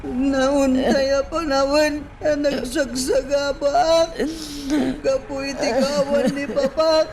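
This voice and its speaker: Woman doing a very high-voiced crying angry son, slow, far off, strong music under. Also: flat delivery. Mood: bitter. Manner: accusing, sobbing, shouting. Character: angry grieving son accusing his mother. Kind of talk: radio drama acting. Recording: distant voice under loud music.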